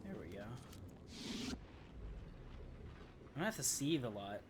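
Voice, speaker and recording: A man's voice: medium pitch, sing-song, slow pace, slight background noise. A man streaming a video game, giving casual live commentary as he plays. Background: game music.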